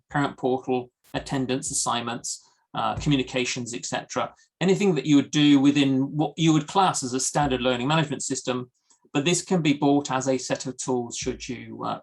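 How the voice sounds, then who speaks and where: A man from the UK giving a product presentation in a webinar over a video call, steady, with frequent ums and uhs. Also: medium pitch, gravelly. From United Kingdom. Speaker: guest presenter.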